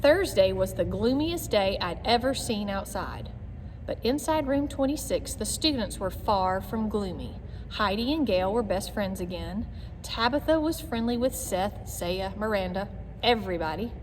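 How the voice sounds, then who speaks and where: A woman with a mid-pitched voice narrates a children's storybook expressively, in clear phrases. The recording has long reverb and some noise behind her. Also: expressive, moderate pace, darkish tone. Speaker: read-aloud reader.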